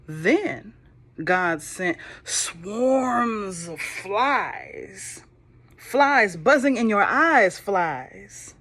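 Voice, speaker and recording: A woman reading a children's Bible storybook aloud, slow and expressive, with soft whispered moments and playful asides to young listeners. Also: medium pitch, slightly rough. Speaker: storybook reader.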